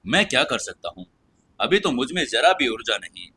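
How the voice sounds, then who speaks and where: A weakened dark spirit from an audio fantasy tale, acted by a male narrator. He is proud, wary and self-important, with a bright, forceful edge to his voice. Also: medium pitch, very animated, moderate pace, breathy edge, exaggerated voice. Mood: dejected. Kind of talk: audio drama storytelling.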